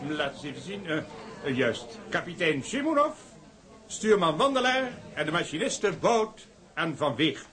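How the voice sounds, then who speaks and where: Ceremonious banquet host, lively pitch. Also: male voice, moderate pace. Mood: ceremonious, welcoming. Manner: stately, ceremonious, with hesitations. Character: formal, courteous gentleman host of a banquet. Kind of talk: Dutch period drama acting, formal speechmaking. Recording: chattering party crowd behind.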